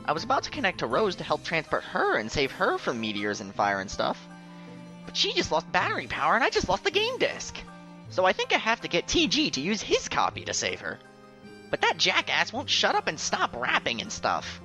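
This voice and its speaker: A woman playing an excitable, easily exasperated teenage boy with an animated, emphatic delivery in a webcomic voice drama. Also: lowish voice, a little fast, soft background music, full character voice. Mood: worried, hesitant. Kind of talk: dubbed webcomic dialogue acting.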